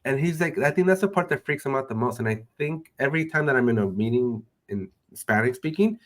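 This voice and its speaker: A middle-aged man hosting an interview, relaxed and conversational, chuckling often. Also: mid-range voice, brisk. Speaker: host. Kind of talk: casual interview chat.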